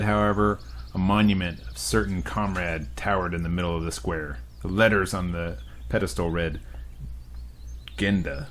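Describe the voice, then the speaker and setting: Young man, deep voice, let's play host, reads game text, crude jokes. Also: a little hiss or hum. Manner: crude joking asides. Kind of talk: reading a visual novel aloud with commentary.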